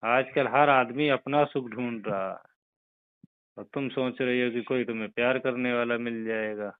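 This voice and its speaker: A man's voice: medium pitch, fairly even intonation, moderate pace, clear, resonant tone, dry recording, natural voice. A man playing a flirtatious boss in a phone conversation, coaxing and often checking that he is being followed. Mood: lecturing, familiar. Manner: coaxing, repeatedly checks for agreement. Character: flirtatious male boss coaxing a former employee back. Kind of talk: staged phone call conversation. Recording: tinny phone line.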